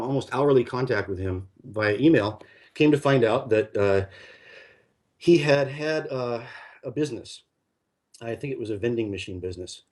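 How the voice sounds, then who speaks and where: Rambling man telling a long story. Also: medium pitch. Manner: rambling, full of fillers and restarts. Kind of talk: conversational storytelling.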